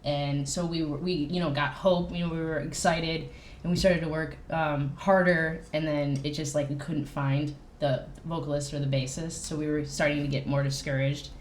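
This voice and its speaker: A woman with a notably low voice tells stories as an interview guest. Her speech is casual and halting, padded with fillers. The room echoes and there is faint noise behind her. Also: fairly even intonation. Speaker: band drummer, interview guest. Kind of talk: casual chat, storytelling. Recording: roomy echo, faint noise.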